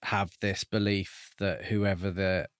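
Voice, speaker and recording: A man co-hosting a casual book discussion, reasoning things through in a measured, tentative way. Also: low voice, little pitch movement, smooth, no room sound, clean studio sound. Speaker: podcast co-host.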